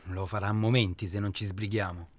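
An actor playing an impatient, gruff hunting guide in a naturalistic radio drama, terse and blunt. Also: male voice, fairly low pitch, fairly even intonation, a little fast, natural voice. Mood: terse, pressing. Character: impatient, gruff male guide urging a hunter to shoot.

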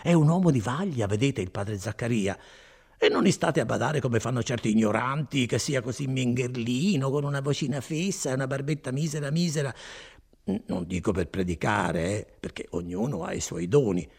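A man voicing a placid, folksy friar in an audiobook, chattering on with unhurried reassurance. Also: medium pitch, expressive, creaky, a little mellow, breathy edge. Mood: coaxing, reassuring. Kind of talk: dramatised audiobook reading.

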